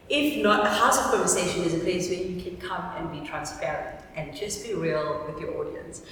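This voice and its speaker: A woman's voice: on the low side, fairly bright tone. Echoey, playful woman hosting. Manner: playful, teasing, self-deprecating. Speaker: vlog host. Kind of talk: casual chat to camera and guest. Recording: distant echoey room, faint noise.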